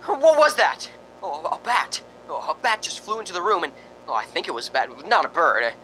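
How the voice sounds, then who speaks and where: Jumpy, bright-voiced actor as a frightened radio host. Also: male voice, high-pitched, huge pitch swings, a little fast, a little hiss or hum, heavily acted, rough recording. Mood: panicked. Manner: stammering, hesitant, exclaiming. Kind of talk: old-time radio drama acting.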